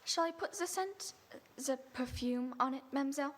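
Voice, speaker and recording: A woman playing a chatty, flattering French lady's maid who speaks broken English with a French accent, in an audio drama. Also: sits high, fairly quick, a little hiss or hum, lightly acted. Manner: lively, flattering. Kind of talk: radio drama acting.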